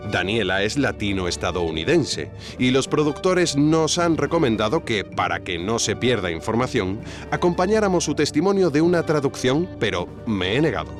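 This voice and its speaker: Animated, low-voiced Spanish male narrator over music. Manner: wry, addresses the listener directly. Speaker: narrator and host. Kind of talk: scripted documentary-style narration.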